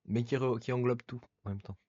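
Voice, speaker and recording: A man's voice: mid-range voice, steady tone, fairly quick, darkish tone, highs cut off. A male podcast guest who talks in elaborate, literary phrasing during a casual conversation.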